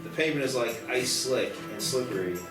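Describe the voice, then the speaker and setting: A man narrates a screenplay's stage directions at a table read in a middle-pitched voice. He sounds roomy and a little away from the mic, with music clearly behind him. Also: animated, a little fast, distant mic.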